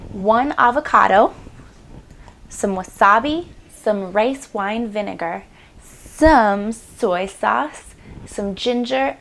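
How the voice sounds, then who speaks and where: A woman's voice: medium pitch, gravelly. A woman in her twenties hosting a home cooking demonstration in a small kitchen, chatty and upbeat with playful asides, on a lapel mic. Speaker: cooking show host.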